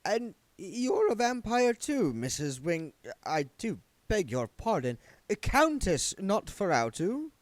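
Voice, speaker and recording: Man doing a high-pitched, polite old gentleman. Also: wildly swooping pitch, slow, no echo, full character voice. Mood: politely puzzled. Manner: polite, hesitant.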